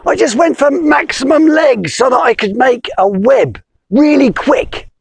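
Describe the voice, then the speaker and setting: A man's voice: very high-pitched, unhurried, creaky, husky, dry recording, pitched above the performer's own voice, exaggerated voice. A man voicing a panicked spider with far too many legs, crying out shrilly for help in a storybook tale.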